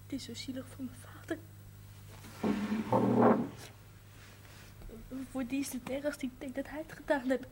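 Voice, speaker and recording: An actress playing a bedridden, sharp-tongued sick young woman in a naturalistic television drama. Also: sits high, level tone, quick pace, lightly acted. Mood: subdued, brooding. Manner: blunt, swearing, emotional. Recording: noisy old television broadcast audio.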